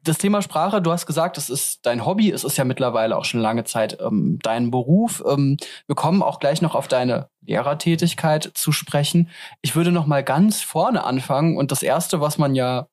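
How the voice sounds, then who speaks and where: A man's voice: on the high side, lively intonation, normal speed, a little mellow. A man hosting an interview, asking friendly, curious questions and linking segments in a radio-style format. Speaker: host, interviewer.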